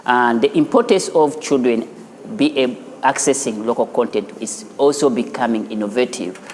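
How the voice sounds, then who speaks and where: A man's voice: medium pitch, normal speed, a little mellow, in a large hall, a little hiss or hum. A man with a Ugandan accent, speaking as the founder of an animation studio in a filmed profile interview. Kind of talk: interview and studio tour for a news feature. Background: music.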